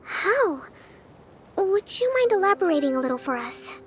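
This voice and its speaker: A woman's voice: very high-pitched, very animated, normal speed, fairly bright tone, exaggerated voice. A woman voicing a polite, thoughtful young female advisor with careful, courteous phrasing in a video game. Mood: polite, inquiring.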